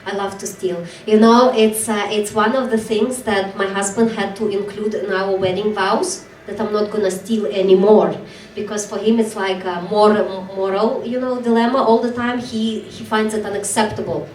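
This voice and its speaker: A woman's voice: medium pitch, fairly even intonation. A woman from Belarus with a Belarusian accent, a podcast host in casual conversation, candid and self-mocking. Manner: candid, self-mocking, talks in quick bursts. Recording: roomy, distant mic, faint background noise.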